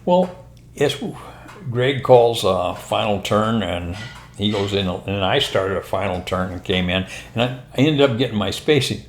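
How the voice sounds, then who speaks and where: An older American man, a former pilot, telling anecdotes in a casual, unhurried, rambling conversational way. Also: fairly low pitch, a little hiss or hum. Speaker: retired military and airline pilot telling stories. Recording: roomy, echoing room sound.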